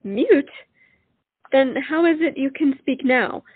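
A woman's voice: high-pitched, expressive, takes it slowly. An actress playing a romantic, devoted young colonial lady in love, in a period stage play. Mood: puzzled. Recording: muffled recording with music behind.

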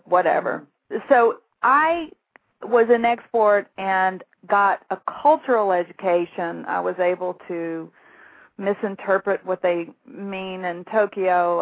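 A woman's voice: mid-range voice, creaky, breathy edge, no room sound. A middle-aged woman from Louisiana with a Southern accent, telling stories from her career as a guest, rambling and self-deprecating. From Shreveport, Louisiana. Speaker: author and guest. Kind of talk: phone interview, storytelling. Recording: tinny phone line.

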